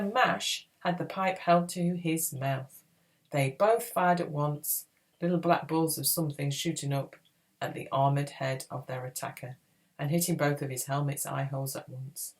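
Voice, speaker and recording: A woman reading a children's adventure book aloud in a dramatic, expressive storytelling style. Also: sits low, low-quality recording. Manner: expressive, acts out dialogue. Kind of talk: dramatic read-aloud narration.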